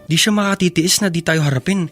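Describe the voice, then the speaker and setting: Earnest, dutiful young son. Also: male voice, sits high, quick pace, a bit bright, soft background music. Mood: earnest, reassuring. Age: young adult. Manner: respectful, earnest. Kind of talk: Tagalog radio drama acting.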